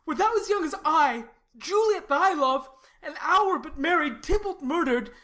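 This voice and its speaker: A woman's voice: sits high, lively intonation, a little slow, a bit bright. A woman playing a lovesick, desperate banished youth in a Shakespearean tragedy, with an anguished theatrical delivery. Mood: defiant despair. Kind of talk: classical stage tragedy in audio.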